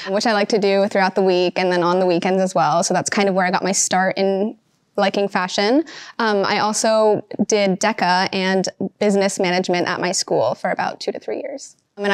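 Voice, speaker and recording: A woman's voice: medium pitch, no room sound. Young woman talking about school, chatty w lots of um, dry close sound. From Seattle, Washington. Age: young adult. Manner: chatty, lots of um and you know. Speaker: fashion student. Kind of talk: interview answers to camera. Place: bright classroom with large windows.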